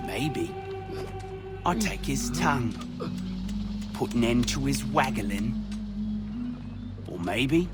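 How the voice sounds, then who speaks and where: An actor playing a menacing henchman in a video game, taunting with sadistic, drawn-out sing-song threats. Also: fairly high pitch. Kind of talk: video game cutscene acting. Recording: loud music over the voice.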